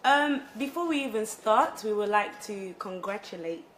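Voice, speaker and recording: There is some background room noise around this woman presenter from Congo. She speaks at a medium pitch and conducts the interview conversationally, with a polite, encouraging manner. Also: expressive, normal speed, a bit bright. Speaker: talk show presenter.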